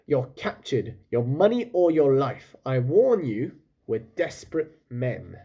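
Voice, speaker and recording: A man's voice: medium pitch, very animated, a little slow, breathy edge, highs cut off, heavily acted. A man voicing a proud, bumbling pirate captain in a storybook, grandly formal, boastful and trying to sound fierce. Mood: blustering, threatening.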